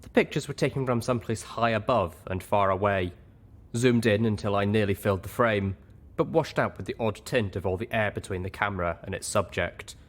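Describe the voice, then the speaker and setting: A man narrating a first-person story in an expressive audiobook reading style. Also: on the low side, a little fast.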